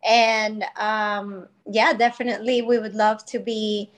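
A woman's voice: mid-range voice, little pitch movement, unhurried, a little hiss or hum. A woman in her thirties from Colombia, telling her own story as a podcast guest in a candid, informal, conversational way. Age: 30s. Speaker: podcast guest, wellness business founder.

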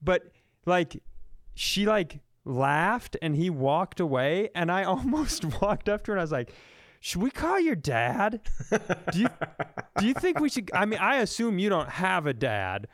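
A man hosting a comedy podcast, telling stories in casual banter with animated, profane, exaggerated incredulity. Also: high voice, measured pace, no echo, clean studio sound.

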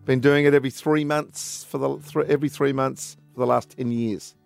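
A man's voice: medium pitch, fairly even intonation, moderate pace, gravelly, dry recording, soft background music. A man with an Australian accent hosting a radio sports show in casual, blokey, joking chat. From Sydney, Australia.

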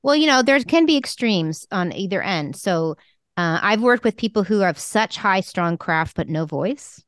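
A woman's voice: medium pitch, expressive, normal speed, dry recording, studio quality. A woman co-hosting a talk show, giving frank, emphatic advice to emerging writers in a casual conversational way. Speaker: podcast co-host and screenwriting mentor.

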